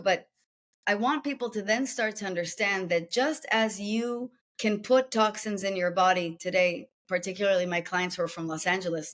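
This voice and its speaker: A woman's voice: mid-range voice, fairly bright tone. A woman with an Israeli accent, a coach being interviewed, explaining her method with rhetorical questions and listed steps. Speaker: guest executive coach.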